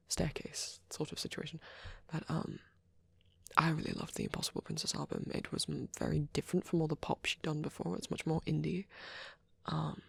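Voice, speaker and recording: A woman plays a shy young vampire boyfriend in an intimate ASMR roleplay. The voice is low and husky with a crackly fry, often slipping toward a whisper. He rambles bashfully, stammering and restarting as he goes. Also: no echo, full character voice. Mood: fond, rambling. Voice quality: soft, breathy, often near a whisper. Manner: hesitant, flustered, halting, with many stammers and trailing restarts.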